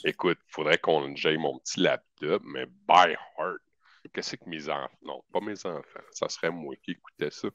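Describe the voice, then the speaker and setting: A deep-voiced middle-aged Quebec man chats casually and teases. He slips English phrases into his slang. The recording is dull and dry. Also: normal speed, a bit bright, no room sound. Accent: Quebec French. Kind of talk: casual chat.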